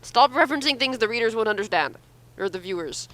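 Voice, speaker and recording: A woman co-commentates in casual, conversational style. Her voice is high and bright. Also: lively intonation, fast. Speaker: co-commentator and voice reader.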